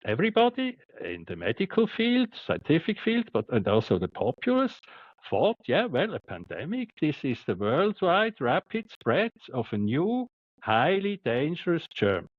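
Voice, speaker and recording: A man's voice: a little high, huge pitch swings, no echo. Swiss guy, stumbles and repeats words but pushes his point hard, dry muffled recording. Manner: halting, repeats words, emphatic. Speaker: guest doctor. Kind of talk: podcast interview.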